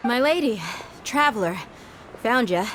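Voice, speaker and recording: A woman's voice: on the high side, expressive, a little slow, very noisy. A woman playing a confident, fearless, protective mercenary bodyguard in a video game, brash and commanding. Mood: brisk, watchful. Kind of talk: English video game voice acting.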